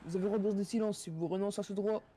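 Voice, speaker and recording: A man improvising as a police officer in an online role-play game, speaking in a halting, formal way. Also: high-pitched, fairly even intonation, over a noisy crowd.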